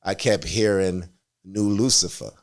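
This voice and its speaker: Low-voiced reflective man from Jamaica. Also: steady tone, unhurried. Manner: reflective, earnest, chuckles while explaining. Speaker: guest artist. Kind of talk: casual interview chat.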